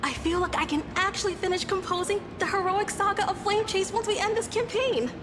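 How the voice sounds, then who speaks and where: A woman's voice: extremely high pitch, steady tone, fairly quick, background noise. A woman voicing a timid, earnest young female poet-historian in an anime-style game. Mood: thrilled. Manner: shy stammer. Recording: music behind the voice. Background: game music.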